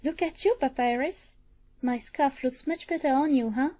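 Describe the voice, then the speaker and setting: A woman voicing a gentle, doting skeleton monster mother, tender and reassuring, in an animated comic dub. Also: high voice, normal speed, natural voice. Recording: muffled audio with music underneath.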